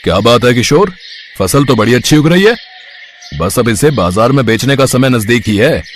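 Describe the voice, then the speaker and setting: A man's voice: medium pitch, expressive, normal speed, a little hiss or hum, close to an everyday voice. Man acting a haughty, stingy village landlord. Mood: approving. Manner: scolding, domineering. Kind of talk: dramatised moral story acting.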